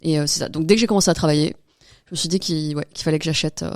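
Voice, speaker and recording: A woman's voice: low voice, rapid-fire, dry recording, clean studio sound. A woman being interviewed as a podcast guest, telling her own story in casual, informal speech. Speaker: interview guest, real estate investor.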